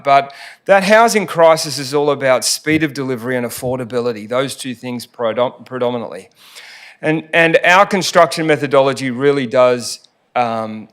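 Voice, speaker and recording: Australian man, company CEO, council presentation, halting delivery, average pitch. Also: fairly bright tone. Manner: hesitant, many ums and restarts. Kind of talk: formal presentation. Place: council chamber.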